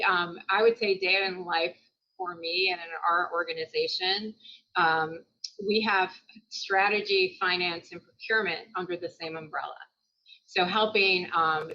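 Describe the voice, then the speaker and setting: A woman speaking on a virtual panel about her organization's procurement, measured with frequent pauses. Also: on the low side, sharp tone. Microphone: video call. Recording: dull video-call audio.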